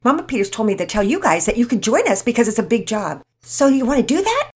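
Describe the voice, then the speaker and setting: A cheerful young girl who rattles words off at speed, as a woman puts her on. Her voice bounces high and lively through each sentence. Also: exaggerated voice. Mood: chatty. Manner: rapid, chattering. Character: fast-talking cheerful girl.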